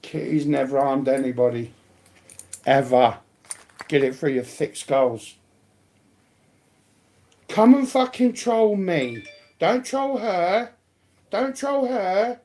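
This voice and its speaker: A man with a fairly high-sitting voice for a male speaker argues in an informal, unscripted way. He is blunt and indignant, driving each point home with emphasis. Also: animated, takes it slowly, pauses often, a little mellow.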